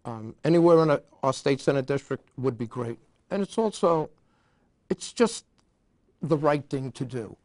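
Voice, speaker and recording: A man's voice: mid-range voice, animated, normal speed, darkish tone, no room sound. A man who chairs a veterans advisory council, speaking earnestly and emphatically as a guest, sometimes stumbling and restarting. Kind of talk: casual interview talk.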